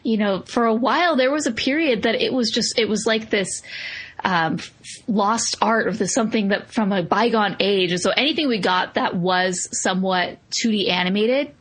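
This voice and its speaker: A woman co-hosting a film review podcast, chatting casually with enthusiasm and clear opinions. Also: medium pitch, moderate pace, a bit bright. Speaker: podcast host.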